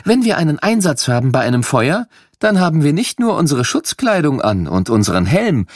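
A man's voice: fairly high pitch, very animated, brisk, dry recording, studio quality, pitched above the performer's own voice. A man voicing a female firefighter who patiently and instructively explains her gear to children in an audio story.

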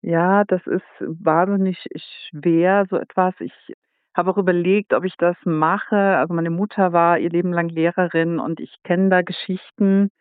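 A man's voice: sits high, little pitch movement, moderate pace, clean tone, no room sound. A man from Saxony, an author, explaining his book at length in an interview. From Saxony, Germany. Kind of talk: interview guest. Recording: tinny phone-like line.

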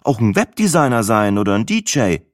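A man voicing a cheerful, innocent young woman, the narrator's girlfriend, in a bright, chirpy manner. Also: fairly low pitch, big pitch swings, a little fast, no room sound, clean studio sound, pitched above the performer's own voice. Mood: earnest.

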